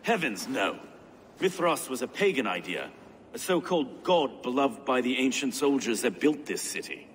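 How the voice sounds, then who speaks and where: Man as animated, earnest medieval official. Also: mid-range voice, moderate pace, close to an everyday voice. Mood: troubled, urgent. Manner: expressive, eloquent, earnest. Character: earnest, anxious male medieval London official. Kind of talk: dramatic game cutscene acting. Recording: reverberant hall, faint ambient noise.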